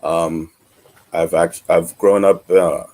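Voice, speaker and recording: A man from the United States, born in Trinidad and Tobago, giving earnest testimony in halting, deliberate phrases as a panel member. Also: low voice, level tone, a little mellow.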